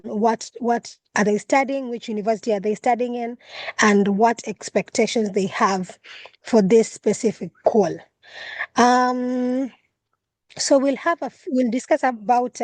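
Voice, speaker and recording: A woman's voice: a little high, a little mellow, no room sound. Kenyan woman hosting a video call. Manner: halting, many fillers and restarts. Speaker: regional coordinator, call host. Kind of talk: online meeting hosting.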